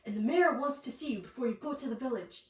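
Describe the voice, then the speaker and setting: A woman's voice: fairly high pitch, lively intonation, fast talker, close to an everyday voice. A woman reading the lines of an earnest, worried vampire father in a storybook, grave and explanatory. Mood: earnest explaining. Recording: echoey room, distant mic, faint noise.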